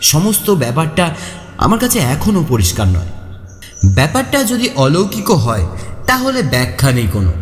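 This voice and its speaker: A man narrating a first-person horror story in an expressive, suspenseful, dramatic way. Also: fairly high pitch, moderate pace. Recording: echoey long reverb, faint music behind. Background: faint music.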